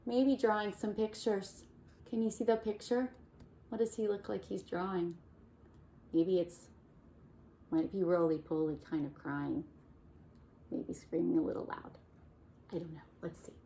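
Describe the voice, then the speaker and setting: Gentle slow-reading woman storyteller. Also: mid-range voice, vocal fry, slight background noise. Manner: gentle, asks the child questions about the pictures. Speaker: children's story reader. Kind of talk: storytime read-aloud for kids.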